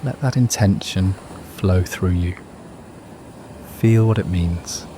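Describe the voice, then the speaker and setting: A man narrating a guided meditation in a soothing, unhurried, measured manner. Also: sits low, noisy background.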